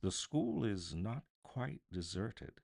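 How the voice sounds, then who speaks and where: A man voicing a gentle but commanding Christmas spirit in a storybook, speaking in a measured, solemn way. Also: lowish voice, animated, creaky, husky, heavily acted. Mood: grave, mild.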